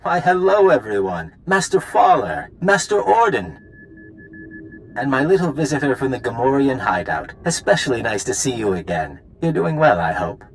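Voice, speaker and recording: A man's voice: medium pitch, big pitch swings, normal speed, a little hiss or hum, low-quality recording. A man playing a smug, polite corporate enforcer villain in a video game, talking with suave mock courtesy. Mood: mock-friendly.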